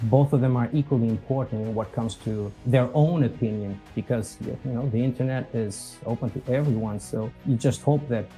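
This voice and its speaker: Portuguese-accented male guest, deep mellow tone, laid-back and rambling. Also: normal speed, few pauses, faint music behind, low-quality recording. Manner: easygoing, meandering, chuckles at himself. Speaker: podcast guest, company executive. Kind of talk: casual interview conversation.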